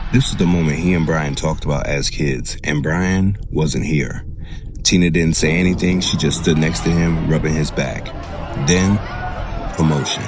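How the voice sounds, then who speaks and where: A man narrating a scripted drama in short, measured, dramatic phrases. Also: very deep voice. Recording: music behind the voice.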